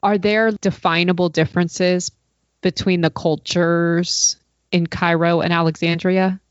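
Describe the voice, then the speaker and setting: A woman's voice: on the low side, flat delivery, a little slow, no room sound, lo-fi sound. A woman hosting a recipe podcast, reading an enthusiastic introduction and then interviewing her guest. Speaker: podcast host.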